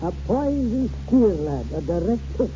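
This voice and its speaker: A man's voice: high-pitched, big pitch swings, normal speed. An actor in a 1940s radio drama playing a boastful, flowery old great-grandfather who spins tall tales in theatrical style. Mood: boastful, dramatic. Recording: dull, noisy 1940s radio recording.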